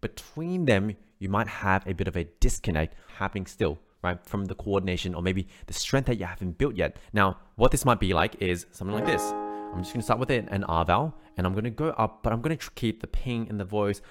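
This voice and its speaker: A man's voice: lowish voice, expressive, fairly quick, fairly bright tone, faint music behind. A man teaching an online singing lesson, explaining steps in an encouraging way and often checking in with "right?". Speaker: vocal coach.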